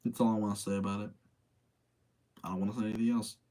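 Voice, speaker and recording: A man's voice: on the low side, level tone, fast, warm tone. A tired man hosting a casual live rating session, chatting with his audience, rambling and thinking aloud as he gives his opinions. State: tired late at night.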